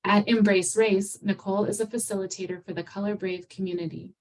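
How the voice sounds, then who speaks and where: A woman's voice: mid-range voice, fairly even intonation, fairly quick, darkish tone, far from the mic, slight background noise, rough recording. A woman hosting a virtual symposium, reading a prepared speaker bio aloud with small slips. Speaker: symposium host.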